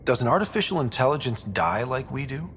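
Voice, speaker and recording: A man's voice: mid-range voice, very animated, fairly quick, a bit bright, slight background noise. A man playing a young, easygoing space explorer and team leader in video game dialogue, speaking casually. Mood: reflective. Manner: casual, conversational.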